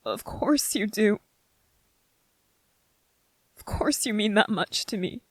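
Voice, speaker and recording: A gentle young soldier, as a performer acts him out speaks very slowly in a soft-edged, husky voice. He is vulnerable and devoted, halting between phrases in an intimate, dry-sounding ASMR roleplay. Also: medium pitch, expressive, no room sound. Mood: overwhelmed, tender. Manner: tender, hesitant, halting, earnest.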